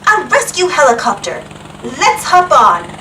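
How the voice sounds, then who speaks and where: Animated high-pitched woman reading a children's story. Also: a little slow, recorded from a distance, a little hiss or hum, low-quality recording. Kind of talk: animated read-aloud storytelling for young children.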